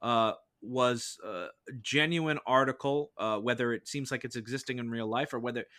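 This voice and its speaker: A man's voice: medium pitch, moderate pace, pushed and bright, no echo, clean studio sound. A man hosting as the judge of a comic mock trial, moderating in a mock-formal way. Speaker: host acting as mock judge.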